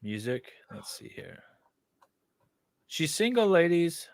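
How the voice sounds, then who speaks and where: A man's voice: a little high, big pitch swings, takes it slowly, vocal fry, a little mellow, no room sound. A man in casual chat as host, reading a web page aloud and adding sarcastic, mocking commentary.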